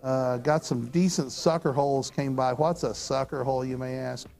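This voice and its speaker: Hoarse older man, folksy talk. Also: medium pitch, fairly even intonation, normal speed, darkish tone, faint music behind. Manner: folksy, rambling storyteller. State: hoarse from talking all weekend. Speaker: astronomy show host. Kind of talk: casual studio chat. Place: studio with telescopes on display.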